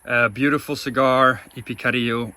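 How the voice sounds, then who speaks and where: Bright-voiced man reviewing cigars. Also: mid-range voice, steady tone, normal speed. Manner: enthusiastic, talks through flavor notes in detail. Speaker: co-host of a cigar review show. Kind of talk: casual review chat.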